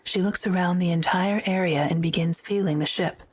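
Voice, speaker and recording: A woman narrating a scripted audio drama in a measured, descriptive way. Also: on the low side, steady tone, slight background noise.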